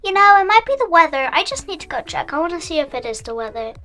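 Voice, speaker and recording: A young girl voicing a calm, reassuring mom in a hobby roleplay story, soothing and a little hesitant, as if improvising. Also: very high-pitched, fast, music in the background, exaggerated voice.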